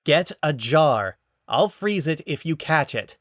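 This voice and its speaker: A man's voice: fairly high pitch, animated, slow, no room sound, studio quality, voice pushed up. A man as a fierce, bitter female bounty hunter. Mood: curt. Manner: terse, forceful, spitting out words.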